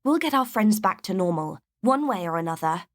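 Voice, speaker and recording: A woman's voice: mid-range voice, very animated, brisk, natural voice. A woman playing an earnest, level-headed cartoon heroine, determined and encouraging. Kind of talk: scripted character dialogue.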